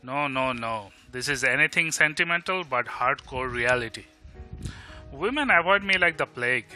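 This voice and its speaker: A man's voice: medium pitch, expressive, fairly quick, sharp tone, faint music behind. A man with an Indian Bengali accent playing a blunt, sardonic but loyal bachelor friend in an audio drama, with emphatic delivery. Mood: wry. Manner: sarcastic, emphatic, switches between English and Bengali. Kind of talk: amateur audio drama acting.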